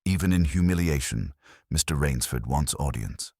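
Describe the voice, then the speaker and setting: A man voicing an imperious, pedantic older detective in an audiobook, speaking in formal, measured, pompous sentences. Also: a bass voice, animated, darkish tone, dry recording, studio quality, exaggerated voice. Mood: dry disdain.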